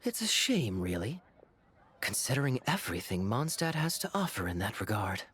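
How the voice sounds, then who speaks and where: A man's voice: mid-range voice, big pitch swings, normal speed, fairly bright tone, slight background noise. A man voicing a suave, laid-back young swordsman in a video game, sardonic and playfully teasing. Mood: amused, teasing. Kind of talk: video game character dialogue. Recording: faint music behind.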